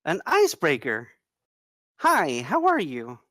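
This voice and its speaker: A woman's voice: deep voice, wildly swooping pitch, slow pace, fairly bright tone, no room sound, clean studio sound, heavily acted. A woman playing a flirty, confident woman in her twenties at a screenplay table read. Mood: teasing.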